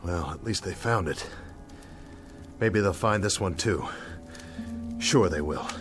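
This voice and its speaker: An actor with an American accent playing a dazed, guilt-ridden young bomber captain in a radio drama, talking to himself in broken, searching phrases. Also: male voice, sits low, expressive, slow pace, music in the background. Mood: weary, hopeful.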